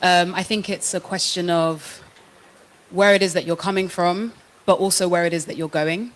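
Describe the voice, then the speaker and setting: A woman's voice: fairly low pitch, fairly even intonation, moderate pace. A young woman from London with a London accent, speaking thoughtfully and frankly as a guest on a panel in front of a live audience. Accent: British, London. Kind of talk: panel discussion.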